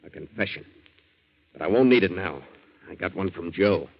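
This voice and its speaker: An actor playing an earnest, plain-spoken young American boat hand in a 1940s radio drama. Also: male voice, deep voice, normal speed, creaky. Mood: urgent, sure. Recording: muffled 1940s radio broadcast.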